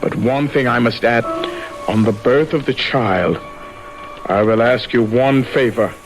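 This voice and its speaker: A male actor plays a mysterious bargainer from a vintage radio mystery. He instructs calmly in a slow, mid-pitched voice, weighing each phrase, with prominent music behind him. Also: close to an everyday voice, low-quality recording. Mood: calm, ominous. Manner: deliberate, measured, instructing. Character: mysterious dealer in charms and herbs, calmly bargaining. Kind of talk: radio drama acting. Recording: old radio broadcast, loud music behind.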